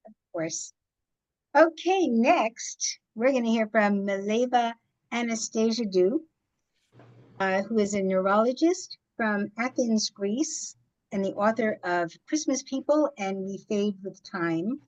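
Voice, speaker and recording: A woman's voice: on the high side, slow pace, a little mellow, rough recording. A woman hosting a reading series, introducing the next writer with gracious, friendly remarks. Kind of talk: introducing readers.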